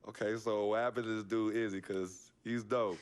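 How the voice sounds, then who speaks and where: Young male athlete actor, fairly low register, loyal and plain-spoken, naturalistic game dialogue with street slang. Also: fairly even intonation, normal speed, smooth, lightly acted. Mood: curious. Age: young adult. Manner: casual, slangy, straight-talking.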